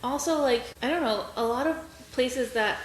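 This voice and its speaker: A woman's voice: high-pitched, normal speed. A woman co-hosting a podcast in casual, informal conversation, recorded with room echo and background noise. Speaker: podcast co-host. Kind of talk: casual chat.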